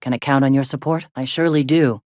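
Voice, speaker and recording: A woman voicing a gruff, plainspoken middle-aged frontier medic in a video game, with a blunt, folksy way of talking. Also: rumbling low, fairly even intonation, fast, a little mellow, dry recording, clean studio sound. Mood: firm. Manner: folksy, blunt, drawling slang with swearing. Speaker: video game character, medic and deputy leader.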